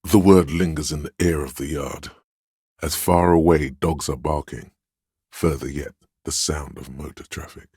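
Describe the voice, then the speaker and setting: A domineering inner voice from a video game, with an extremely low, resonant pitch. He speaks in a grave, measured, pompous way, as if issuing orders. The sound is dry and close. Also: fairly even intonation, darkish tone, husky, no echo, heavily acted. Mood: grave, narrating. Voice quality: very deep, resonant. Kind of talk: scripted game narration, inner-voice commentary.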